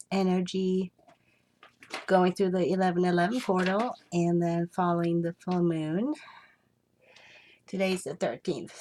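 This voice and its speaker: A woman with a cold, sounding congested, who talks slowly to her viewers and reassures them with many fillers. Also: on the low side, a little mellow. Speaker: spiritual reader. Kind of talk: casual spiritual vlog.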